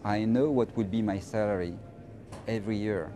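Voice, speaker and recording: A middle-aged man from France with a French accent, answering interview questions in an emphatic, halting way and often repeating phrases. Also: lowish voice, a crowd in the background. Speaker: interviewee, finance professional.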